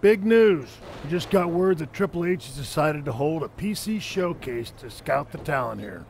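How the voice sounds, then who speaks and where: Gravelly middle-aged male coach, encouraging. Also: on the high side, animated, normal speed, darkish tone, a little hiss or hum. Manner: encouraging, businesslike.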